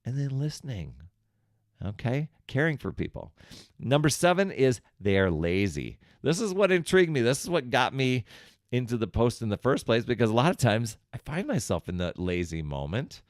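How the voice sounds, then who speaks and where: A man's voice: mid-range voice, sing-song, moderate pace, dry recording, clean studio sound. A man hosting a self-help podcast, talking conversationally and encouragingly straight to the listener. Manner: conversational, talks directly to the listener; encouraging, coaching. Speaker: podcast host.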